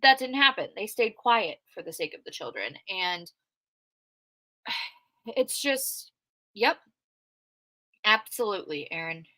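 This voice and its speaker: A woman giving casual, outspoken livestream commentary, loud-voiced and chatty, with plenty of fillers as she reacts to live chat comments. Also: medium pitch, sing-song, takes it slowly, long pauses, no room sound. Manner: conversational with frequent fillers. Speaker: true crime commentator reading live chat.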